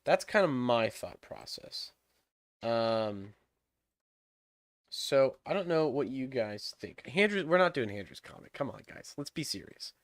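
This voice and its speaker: A man's voice: medium pitch, big pitch swings, a little slow, no echo. Stuffy-nosed young man streaming a game. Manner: rambling, thinking aloud with many fillers. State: stuffed-up nose, sniffling. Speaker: livestream host. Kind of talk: casual game livestream chat. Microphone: desk microphone.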